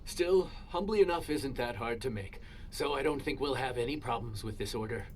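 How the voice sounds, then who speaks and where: A man's voice: medium pitch, expressive, normal speed, background noise, close to an everyday voice. A man playing an easygoing, polite young restaurant cook in a video game, accommodating and a little hesitant. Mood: amiable.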